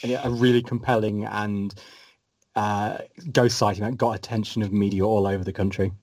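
A man's voice: lowish voice, flat delivery, normal speed, darkish tone, no echo. A man from Leicester with a mixed English Midlands, Yorkshire and Scottish accent, chatting as a guest and often repeating his first words. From Leicester, England. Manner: repeats words at starts of sentences. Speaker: guest, podcast host. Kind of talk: casual chat.

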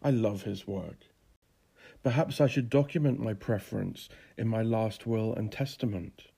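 Casting: male narrator as flamboyant failed male playwright, middle-aged, pompous and declamatory, gravelly edge, for storybook drama. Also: on the low side, measured pace, mellow, full character voice. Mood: wistful, self-dramatizing. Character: flamboyant, theatrical, grey-haired failed playwright, self-pitying and grandiose.

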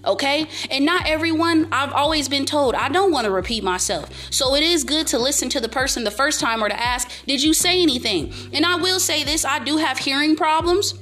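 A young woman vlogger giving motivational life advice in a loud, emphatic, repetitive way. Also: sits high, a little fast, hardly any pauses, faint music behind. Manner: emphatic, preachy, repeats herself, speaks up loudly. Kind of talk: casual motivational monologue to camera.

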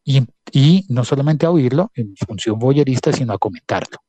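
Hesitant man with a mellow, husky voice. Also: mid-range voice, animated, normal speed, no echo. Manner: hesitant, self-aware, storytelling. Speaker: panel guest, former broadcaster. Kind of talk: reflective panel talk.

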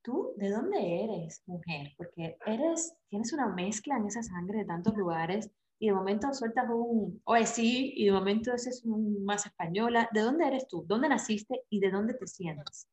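A woman interviewer asks her guest questions in a casual way. Her tone is warm and admiring. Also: medium pitch, lively intonation, a little slow, hardly any pauses.

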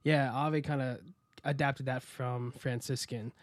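A young American man telling his story as a podcast guest, relaxed and rambling with many fillers and restarts. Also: mid-range voice, measured pace, no room sound, clean studio sound. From United States. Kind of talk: casual interview chat.

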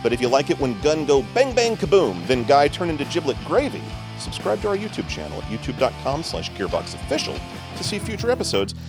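A man's voice: a little high, huge pitch swings, a little fast, over music. A man hosting a casual podcast, running the chat with playful, jokey asides. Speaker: podcast host.

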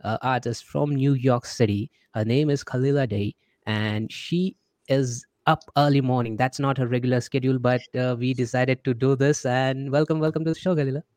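A man's voice: mid-range voice, fairly even intonation, normal speed, darkish tone, dry recording. A man with an Indian accent hosting a casual interview, chatty, often repeating his words and acting out the messages he quotes. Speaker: podcast host.

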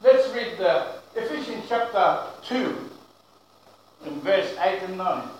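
A man preaching a sermon as pastor, speaking English as a second language with broken grammar, loud and emphatic, slowing down to stretch key words. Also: sits high, animated, slight background noise. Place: church. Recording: very echoey room.

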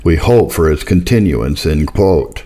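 A man narrating historical religious writings in a measured, formal, solemn way, like an audiobook. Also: a bass voice, expressive, dark tone. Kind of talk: scripted narration, audiobook reading.

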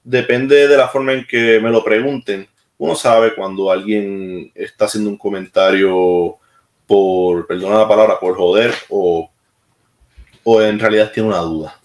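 A man's voice: lowish voice, fairly even intonation, a little slow. A man from Puerto Rico with a Puerto Rican Spanish accent, talking as an interview guest in a direct, plain-spoken way with hesitant restarts. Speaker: military musician, interview guest. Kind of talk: casual interview talk. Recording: echoey room.

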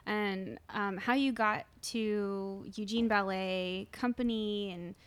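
A young adult woman co-hosting a podcast, talking in a relaxed, casual way with many fillers. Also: mid-range voice, slow pace, clear, resonant tone, no echo. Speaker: podcast co-host. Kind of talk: casual chat.

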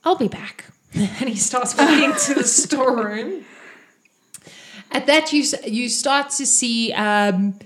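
A woman with a light Irish accent runs a tabletop game, narrating scenes in a chatty, playful way. Her voice sits mid-range and moves lively through her sentences, with quick jokes and puns between the plot. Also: fairly high pitch. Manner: chatty, punny, laughing asides. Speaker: game master.

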